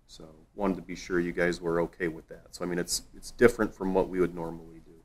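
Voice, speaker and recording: A man's voice: low voice, flat delivery, fast talker, slightly rough. A man speaking as a city official, giving an informal, plain-spoken and reassuring update in a meeting room. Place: council meeting room, indoors.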